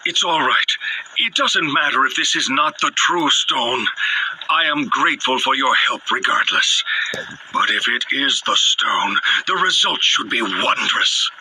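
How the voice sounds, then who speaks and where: A man playing a driven, courteous alchemist in a video game, speaking in halting, deliberate phrases with dramatic pauses. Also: medium pitch, lively intonation, sharp tone, a little hiss or hum, exaggerated voice, rough recording. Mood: grateful, polite. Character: earnest, driven male alchemist scientist, wistful and courteous. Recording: music under the voice. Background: background game music.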